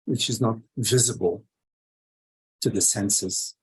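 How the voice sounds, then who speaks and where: A man leading a guided meditation as a teacher, speaking in short phrases with long pauses between them. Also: on the low side, slightly rough.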